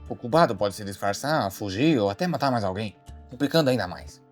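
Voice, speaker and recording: A man acts out an earnest detective from an animated mystery. He talks quickly in a theatrical, brooding way with slangy asides, and music sits under his voice. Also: fairly low pitch, close to an everyday voice. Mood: grave, deducing. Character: earnest amateur detective, brooding and easily startled. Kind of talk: dramatic monologue, animated short voice acting.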